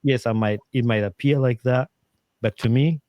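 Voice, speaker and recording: A man from Uganda, a podcast guest giving earnest, animated advice in casual interview talk, with plenty of fillers and restarts. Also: mid-range voice, normal speed, no room sound, dull audio.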